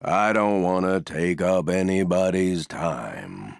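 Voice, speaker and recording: A man voicing a gloomy, resigned, self-effacing stuffed donkey for a video game, with a mournful, deadpan, sighing delivery. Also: deep voice, slow, vocal fry, no echo, studio quality, heavily acted. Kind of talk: video game character voice lines.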